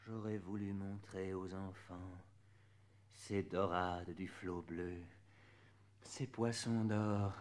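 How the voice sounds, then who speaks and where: Slow, theatrical man reciting French verse. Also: on the low side. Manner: theatrical, swinging from hushed near-whispers to loud declamation; measured phrasing with drawn-out words and pauses. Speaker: poetry reader, performer. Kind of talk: dramatic literary poetry recitation for audiobook.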